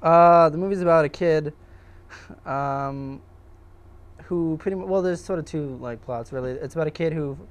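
A man's voice: a little high, normal speed. A young man, a student filmmaker, answering interview questions casually in a hesitant, self-deprecating way. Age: young adult.

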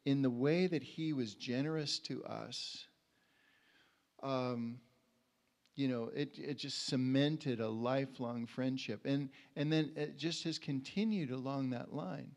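An older man, in his sixties, preaches informally with a mid-pitched voice. He talks conversationally into a handheld mic, telling personal stories in a halting way and repeating words as he feels his way along. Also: vocal fry, a little mellow. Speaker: preacher at a community church. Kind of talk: informal sermon with personal anecdotes. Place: indoor church stage. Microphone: handheld microphone.

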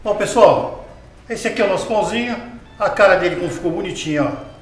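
A man's voice: high voice, fast talker, faint music behind. Chatty Brazilian man teaching baking in a kitchen. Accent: Brazilian Portuguese. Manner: chatty, explains step by step, asks the viewer questions. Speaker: cooking show host. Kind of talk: casual cooking demonstration. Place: home kitchen with tiled wall, indoors. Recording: roomy kitchen sound from a distance.

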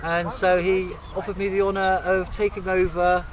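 A man's voice: high-pitched, flat delivery, moderate pace, lo-fi sound. A British man in his thirties chatting casually outdoors in a friendly, matey, rambling way. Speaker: festival food volunteer. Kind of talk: casual chat. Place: outdoors in a park. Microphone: handheld camera a step away. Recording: outdoor crowd and traffic noise.

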